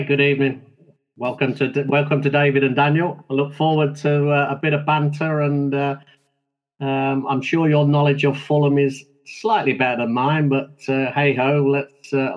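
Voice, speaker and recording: Halting older man, London-Yorkshire accent. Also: mid-range voice, little pitch movement. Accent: mixed London and Yorkshire. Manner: halting, hesitant, many fillers. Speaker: podcast guest, former footballer. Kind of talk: casual chat. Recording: dull lo-fi remote line.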